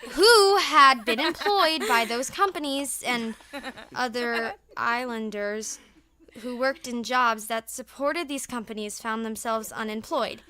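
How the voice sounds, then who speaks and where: A woman playing an earnest, easily provoked American high school girl in an audio drama, defensive in manner. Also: slow pace, sharp tone. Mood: earnest, nervous.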